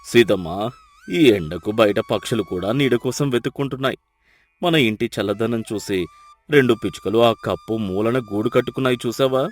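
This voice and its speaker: A man voicing a kind, contented poor villager with a gentle, caring manner in a cartoon moral story. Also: medium pitch, fairly quick, close to an everyday voice. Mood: calm, kindly. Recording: music behind the voice. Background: music.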